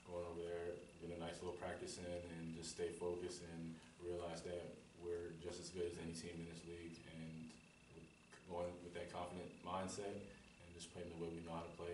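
A young man, a senior college basketball player, answering calmly and without hurry at a press conference. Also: deep voice. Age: young adult. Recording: roomy, distant, noisy.

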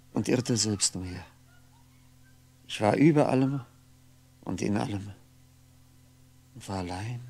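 A lonely man lost in his memories, thinking aloud in a 1960s radio drama. His voice is low and gravelly, with diction. He speaks in halting, introspective phrases, often correcting himself. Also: a little mellow, heavily acted. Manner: halting, introspective, self-correcting, deliberate articulation. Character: lonely, brooding man musing aloud over faded memories. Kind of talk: 1960s German radio play monologue.

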